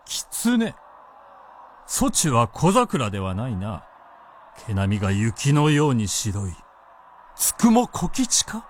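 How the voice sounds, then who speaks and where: An actor in a Japanese radio drama playing an imperious, fearless samurai warlord with a commanding, theatrical delivery. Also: male voice, mid-range voice, wildly swooping pitch, takes it slowly, pauses often, slight background noise. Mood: stern, suspicious.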